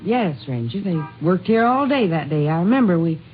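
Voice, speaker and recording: A woman's voice: fairly low pitch, sing-song, normal speed, soft background music. A woman acting as an edgy, defensive housewife with something to hide in an old radio drama. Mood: guarded, nervous. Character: nervous, evasive housewife hiding her boyfriend's crime. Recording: dull, hissy old radio recording.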